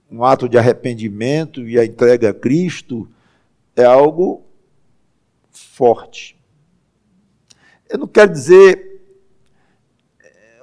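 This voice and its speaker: A man, preaching a conversational sermon as a pastor, halting and restarting often and slowing down for emphasis. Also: medium pitch, warm tone.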